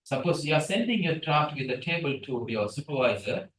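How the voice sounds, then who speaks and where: A middle-aged man, a native Tamil speaker, lectures in a seminar room with a lively questioning manner. His fairly high voice comes from some distance off the mic. The room adds an echo and a faint hiss. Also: fairly quick. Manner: animated, asks the room questions. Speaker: guest lecturer. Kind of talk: lecture on scientific writing. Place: seminar room, hybrid session.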